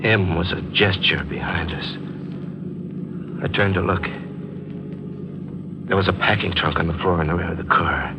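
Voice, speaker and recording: A man's voice: deep voice, lo-fi sound, background noise. An actor playing a tormented, guilt-haunted man in a 1940s radio thriller, narrating and speaking with brooding intensity. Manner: brooding, intense, halting in dialogue. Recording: 1940s radio recording with music behind.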